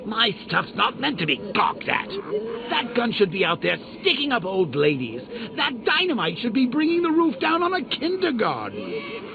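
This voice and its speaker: An actor playing a manic, sadistic male clown supervillain in a video game, with a gravelly, mocking, theatrical delivery. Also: extremely high pitch, sing-song, normal speed, noisy background, full character voice. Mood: indignant. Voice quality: gravelly, mocking, swooping sing-song delivery. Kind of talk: video game villain voice lines. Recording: tinny lo-fi game audio with music.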